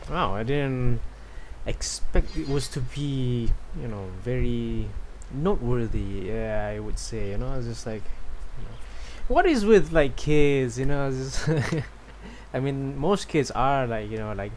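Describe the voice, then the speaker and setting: A man's voice: medium pitch, measured pace, darkish tone, a little hiss or hum, low-quality recording. A man from Malaysia livestreaming a game, casually commenting and reading dialogue aloud in a laid-back, rambling way. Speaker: game streamer. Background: game music.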